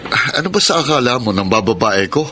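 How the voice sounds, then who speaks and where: A mid-pitched, dismissive voice of a secretive husband, defensive and evasive, with clear hiss and clicks behind him in an old-fashioned radio drama. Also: male voice, very animated, fast talker, pushed and bright. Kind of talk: classic radio drama acting.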